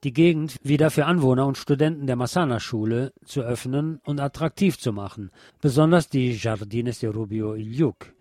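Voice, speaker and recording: A man's voice: medium pitch, normal speed, hardly any pauses, a little mellow, no room sound, studio quality. A man presenting scripted local radio news as a narrator. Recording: music playing behind the voice. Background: background music.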